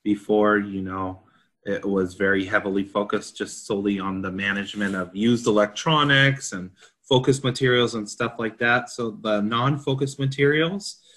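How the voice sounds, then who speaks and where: Guy with a lowish voice, consultant type, breaking down technical rules in plain casual terms. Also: steady tone, normal speed, fairly bright tone. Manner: informal, explains in plain terms. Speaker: consultant panelist. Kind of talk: explanatory discussion of a technical standard.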